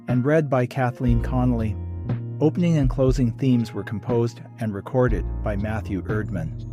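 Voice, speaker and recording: A man delivers a scripted podcast outro as its host. His voice has a middle pitch for a man. Guitar music plays clearly behind him. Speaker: podcast host reading closing credits.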